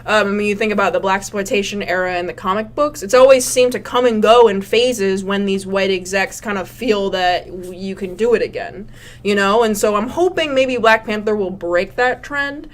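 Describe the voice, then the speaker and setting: A young woman co-hosts a relaxed podcast chat. She talks in an animated, slangy way and swears freely. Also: medium pitch, normal speed, slight background noise. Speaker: podcast co-host. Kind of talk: casual chat.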